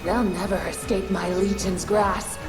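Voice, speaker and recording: A woman plays a devoted villainess in a fantasy game, deferential and predatory. Her menacing words come slowly, echoing beneath very loud music. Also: medium pitch, level tone, close to an everyday voice. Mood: eager, menacing. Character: devoted predatory female minion of a demon king. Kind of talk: theatrical video game villain acting.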